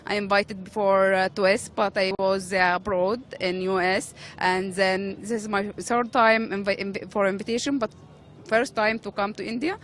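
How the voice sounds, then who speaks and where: A woman's voice: mid-range voice, steady tone, moderate pace, fairly bright tone, slight background noise. Hesitant woman from Egypt. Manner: hesitant, many fillers. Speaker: journalist.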